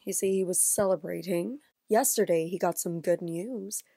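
An actress playing a confident, alluring and mysterious stage actress who comes as a client in an audio drama, poised and dramatic. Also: female voice, fairly low pitch, normal speed, creaky, warm tone, dry recording. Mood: wistful, confiding.

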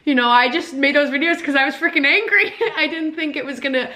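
Sick, raspy young woman vlogging. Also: high voice, fast talker. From Canada. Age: young adult. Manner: animated, sarcastic, laughs often. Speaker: YouTuber. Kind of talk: casual vlog, reading comments. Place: home kitchen, indoors.

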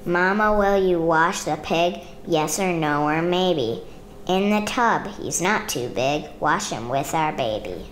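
A woman reading a children's picture book aloud in measured, rhythmic rhyme. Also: low-pitched, lively intonation, slight background noise. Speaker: storybook reader.

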